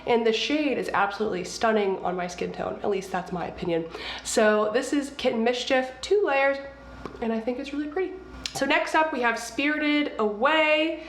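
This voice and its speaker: A woman's voice: fairly high pitch, normal speed, slight background noise. Stuffed-up young woman gushing over makeup. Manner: chatty, gushing, many fillers. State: stuffed-up nose from a cold. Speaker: beauty vlogger. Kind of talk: casual makeup review. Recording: echoey room.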